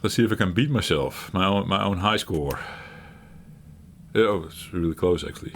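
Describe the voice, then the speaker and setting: A middle-aged man hosting his retro gaming channel, talking while he plays in a halting, reflective way, searching for words and laughing at himself. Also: low-pitched, fairly bright tone, slight background noise. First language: Dutch. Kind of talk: reflective gameplay commentary.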